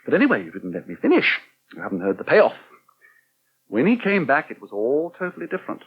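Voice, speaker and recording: A man's voice: mid-range voice, wildly swooping pitch, fast, slightly rough, highs cut off. An actor playing a wry, articulate middle-aged English newspaper editor in a 1980 British radio soap, drily teasing as he talks. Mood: wry, satisfied. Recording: thin, band-limited old radio recording.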